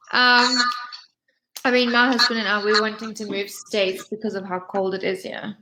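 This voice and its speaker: A woman's voice: fairly high pitch, level tone, a little slow, very noisy. Friendly South African woman tutor. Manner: chatty, friendly, asks follow-up questions, laughs often. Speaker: English tutor. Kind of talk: casual conversation practice. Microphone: video call.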